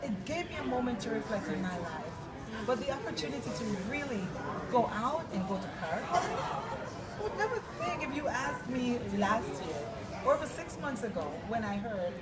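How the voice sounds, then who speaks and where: A woman from Jamaica, an interview guest, telling her story with expressive, animated delivery. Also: fairly high pitch. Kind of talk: emotional personal testimony. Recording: distant mic with loud crowd behind.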